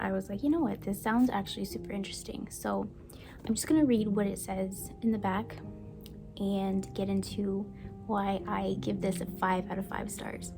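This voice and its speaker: A young woman from Brazil whose first language is Portuguese, chatting gently in a casual book review vlog and sometimes dropping to a soft whisper. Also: mid-range voice. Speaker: book vlogger. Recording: music playing behind the voice.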